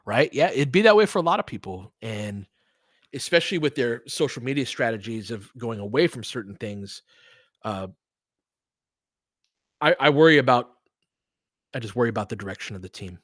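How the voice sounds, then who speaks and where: A man's voice: mid-range voice, lively intonation, moderate pace, pauses often, fairly bright tone, no echo. A man hosting a casual sports stream, talking conversationally and thinking aloud, often trailing off mid-thought, on a close microphone. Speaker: host of an audio play-by-play fan stream. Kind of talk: casual sports chat, answering listeners during play.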